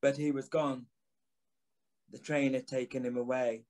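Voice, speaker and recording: A man's voice: medium pitch, level tone, a little mellow, a little hiss or hum, natural voice. An actor playing a haunted former journalist turned signalman, grave, anguished and intense in a dramatic audio drama narration. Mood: grave, regretful. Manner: slow, deliberate, intense.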